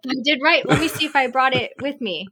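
A woman co-hosting a casual podcast, chatting in a quick, talkative way. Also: high-pitched, pushed and bright, slightly rough, dry recording. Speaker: podcast co-host.

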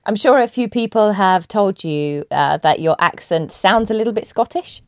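A woman's voice: medium pitch, expressive, normal speed, no echo, clean studio sound. A woman hosting an interview, asking friendly questions and encouraging her guest with affirmations. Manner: encouraging, with light laughs and affirmations. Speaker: podcast host interviewer.

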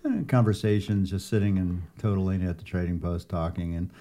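A man's voice: low voice, steady tone, a little fast, warm tone, a little hiss or hum. A man hosting a podcast interview, chatty and teasing his guest in a friendly way, often restarting his sentences as he rambles. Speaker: podcast host and gallery owner.

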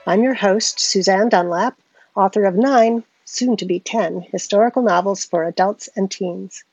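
A woman hosts a podcast and interviews a guest author. She speaks with enthusiasm, welcoming her guest and leading the talk. Her voice sits at a middle pitch. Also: normal speed, husky, soft background music, poor audio. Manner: enthusiastic, warm welcoming host. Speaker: podcast host.

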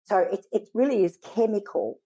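A woman from Israel, a guest in an interview, giving earnest, measured answers and often pausing to search for words. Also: medium pitch, mellow, slightly rough.

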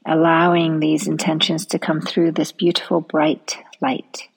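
Slow, low, breathy woman guiding meditation. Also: monotone, poor audio. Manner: soft, breathy, soothing delivery trailing into near-whisper. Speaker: meditation guide. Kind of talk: guided relaxation meditation.

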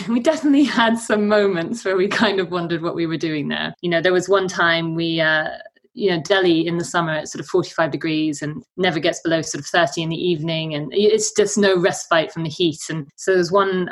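A woman's voice: sits low, a bit bright. A British woman in her forties, a travel blogger, chatting as a podcast guest in an easy, anecdotal way with frequent fillers. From Britain. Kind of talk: conversational interview.

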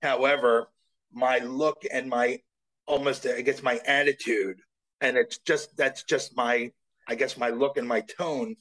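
A man's voice: medium pitch, steady tone, measured pace, a bit bright, low-quality recording. A man from South Jersey, a voice actor guest, chatting humbly and rambling with restarts in an interview. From South Jersey, USA. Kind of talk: casual interview chat.

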